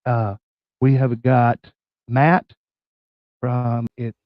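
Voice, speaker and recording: A man's voice: medium pitch, little pitch movement, drawn-out words, no echo, muffled recording. Scratchy-voiced man hosting a live stream. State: bronchitis, scratchy voice. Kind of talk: casual live stream chat.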